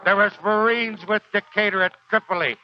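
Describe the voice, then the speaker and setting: An actor playing a proud, embittered old Marine sergeant in a 1940s American radio drama, with gruff, slangy, uneducated speech. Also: male voice, sits high, steady tone, brisk, a little hiss or hum, natural voice. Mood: bitter, rambling. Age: older. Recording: dull 1940s radio recording, faint music.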